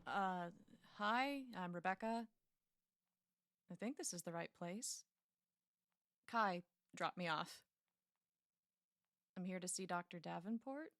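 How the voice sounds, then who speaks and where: A woman's voice: fairly low pitch, lively intonation, takes it slowly, long pauses, a bit bright, no echo, clean studio sound, heavily acted. An actress playing a guarded young woman patient in an audio drama, sardonic and hesitant.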